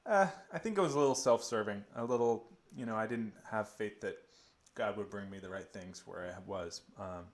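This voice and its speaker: A man's voice: on the low side, normal speed. A man in his twenties co-hosting a podcast in a casual, conversational way. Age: 20s. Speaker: podcast co-host. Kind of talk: casual chat.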